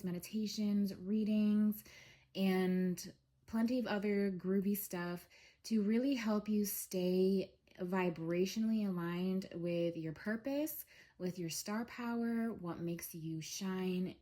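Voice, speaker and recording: A young woman with a head cold, congested and a little scratchy, giving a relaxed spiritual reading monologue with many ums. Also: mid-range voice. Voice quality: scratchy throat. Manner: slow, soothing, with frequent um fillers. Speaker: tarot reader.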